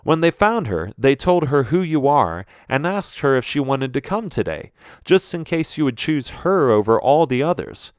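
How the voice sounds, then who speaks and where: A man voicing a gentle, reassuring queen and mother in a storybook, in a kindly manner. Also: medium pitch, very animated, moderate pace, clean tone, dry recording, studio quality, voice pushed up, lightly acted. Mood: kindly explaining.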